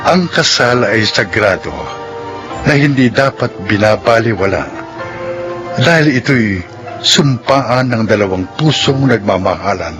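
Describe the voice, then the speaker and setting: A man's voice: medium pitch, animated, measured pace, music in the background. A man announcing in a formal, declamatory style, reading scripted radio drama narration and credits.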